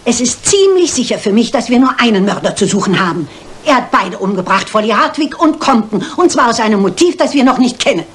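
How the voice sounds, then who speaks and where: An actress playing a shrewd, bossy elderly lady detective who reasons aloud briskly and with authority in a German crime drama. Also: fairly high pitch, big pitch swings. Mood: deliberate, insistent.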